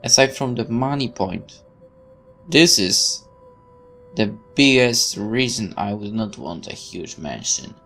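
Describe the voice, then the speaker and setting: Animated man narrating a game playthrough. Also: fairly low pitch, takes it slowly. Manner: expressive reading with stumbles. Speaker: gaming video host reading the game text aloud. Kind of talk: let's play narration with asides. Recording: music playing behind.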